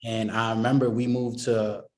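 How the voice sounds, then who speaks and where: A man's voice: medium pitch, level tone, normal speed. A man from the Boston area, a music producer being interviewed, talking casually and rambling with lots of "you know what I mean" fillers.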